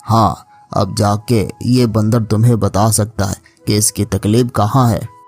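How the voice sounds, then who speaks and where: A man's voice: lowish voice, steady tone, a little slow, creaky, darkish tone, voice pushed down. A man voicing a solemn, wise wandering holy man with magic powers in a cartoon story. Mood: assured. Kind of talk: Hindi cartoon moral story acting.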